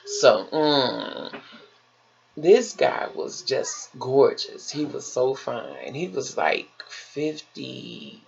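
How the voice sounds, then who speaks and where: An American woman vlogger from Chicago tells stories in a casual, chatty way. Her voice is raspy with a creaky fry, and she takes her time, leaning on repeated phrases for folksy emphasis. The sound is a little dull and lo-fi. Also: rumbling low, very animated, extremely slow, darkish tone. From Chicago, United States. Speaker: vlogger telling personal stories.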